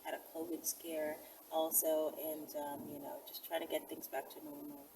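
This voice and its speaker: Female self-defense vlogger, lowish voice, conversational and direct, recorded away from the mic. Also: level tone, moderate pace, gravelly. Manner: conversational, addressing viewers directly. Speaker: self-defense video presenter. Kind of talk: casual instructional talk to camera. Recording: distant mic, faint background noise.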